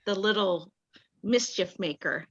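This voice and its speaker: A woman reading out a playwright's answers in an online talk back, warm and enthusiastic. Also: mid-range voice, moderate pace, breathy edge. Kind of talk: video call talk back. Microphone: video call. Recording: dull lo-fi call audio.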